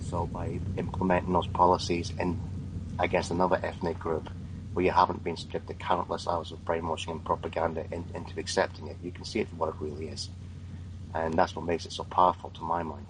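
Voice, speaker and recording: Deep, flat-voiced man, emphatic. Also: fairly quick, background noise. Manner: emphatic, opinionated, repeats words when starting. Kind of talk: casual podcast chat.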